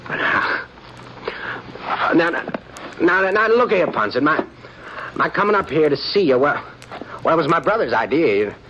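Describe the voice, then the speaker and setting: A man's voice: fairly high pitch, big pitch swings, unhurried, pauses often, bright, forceful tone, full character voice. A stammering, jittery young gunman. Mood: nervous. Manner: stammering, hesitant, repeats his words. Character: nervous, cowardly young gunman, male. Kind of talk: 1950s radio drama acting. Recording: dull lo-fi old radio broadcast with hiss.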